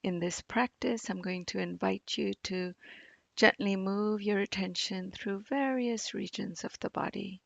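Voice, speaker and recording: A woman meditation teacher leading a guided practice in a gentle, soothing manner, leaving long pauses between phrases. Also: on the low side, creaky, no echo. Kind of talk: guided body scan meditation.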